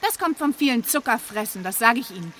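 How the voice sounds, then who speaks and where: An actress playing a resourceful, cheeky heroine in a children's radio play, with a brisk, lecturing, persuasive manner. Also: female voice, a little high, lively intonation, very noisy, lightly acted. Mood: scolding.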